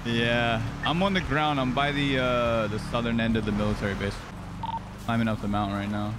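A man's voice: medium pitch, steady tone, a little fast. A man gaming with a team in online roleplay, talking in urgent, repeated short phrases. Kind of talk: excited in-game team chatter. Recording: noisy game voice chat, vehicle noise behind.

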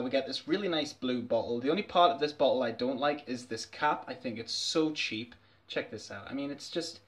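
Chatty man reviewing a fragrance. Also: medium pitch. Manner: chatty, candid, laughs often. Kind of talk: casual review vlog. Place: small dim bedroom, indoors.

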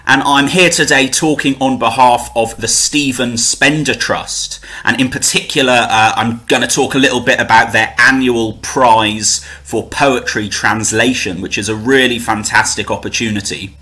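A young man with a bright, forward voice at a middling pitch. He speaks as a poet presenting to camera, animated and emphatic, in his native English. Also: moderate pace, hardly any pauses. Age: young adult. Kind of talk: informal promotional talk to camera with poem recitation. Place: small room at home, indoors. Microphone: webcam at desk distance.

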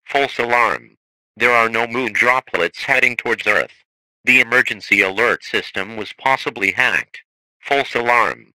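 A man's voice: medium pitch, unhurried, sharp tone, no echo, low-quality recording. A synthetic male text-to-speech voice reading scripted emergency broadcast announcements as an alert announcer.

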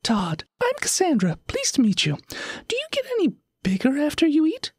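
A woman's voice: sits high, huge pitch swings, normal speed, a little mellow, no echo, studio quality, exaggerated voice. A woman voicing a bookish, inquisitive little girl in an audio story, with formal, pedantic, articulate speech. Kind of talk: acted audio story dialogue.

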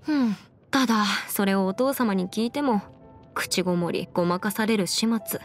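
A woman voicing a confident, brisk young half-immortal lawyer in an anime game, with crisp, formal phrasing and music behind her. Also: mid-range voice, lightly acted. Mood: composed, musing. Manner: crisp, self-assured, formal mannish phrasing. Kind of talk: scripted anime game character voice lines. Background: background music.

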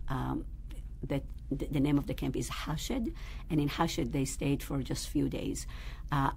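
A woman rabbi speaks in a low, gravelly voice. She tells her family's story thoughtfully, pausing on fillers as she searches for words. A faint hiss sits under the recording. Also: darkish tone. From Israel. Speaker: rabbi, guest. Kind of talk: interview, personal storytelling. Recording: faint background noise.

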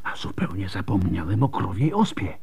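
An actor playing a tipsy, wry and animated physician in a radio drama, with a husky voice and a theatrical delivery. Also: male voice, deep voice, normal speed, exaggerated voice. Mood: musing.

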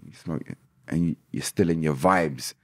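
A man's voice: very deep voice, dry recording. A man, a podcast guest talking animatedly in a casual interview, often restarting words, close to a desk mic in a small studio. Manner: animated, gestures a lot, quick restarts. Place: small studio room indoors.

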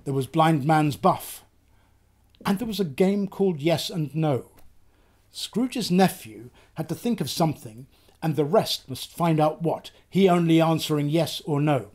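An older man in his sixties or above giving an expressive, theatrical reading of a literary story. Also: on the high side, measured pace. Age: 60s or older. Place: small book-lined room, indoors.

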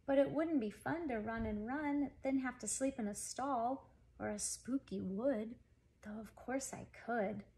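A woman's voice: fairly high pitch, a little slow, mellow. A woman voicing a gloomy young girl who thinks things over in a worried, musing way, in a children's storybook. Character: gloomy young girl pondering her messy room.

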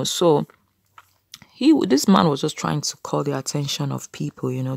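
A woman's voice: deep voice, gravelly, no echo, clean studio sound. A woman in her thirties preaching casually to camera from a small room, earnest and exhorting, with many "you know" fillers.

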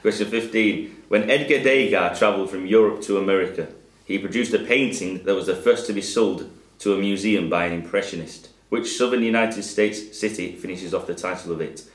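A man reading out quiz questions in a measured way, stumbling over hard names, recorded by a camera across the room. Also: fairly low pitch. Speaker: quizmaster. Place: pub function room. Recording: echoey room.

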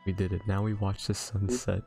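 A woman's voice: a bass voice, level tone, a little fast, creaky, mellow, faint music behind. A woman improvising lines for a comedy fandub, joking and swearing in exclamations. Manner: jokey, profane exclamations.

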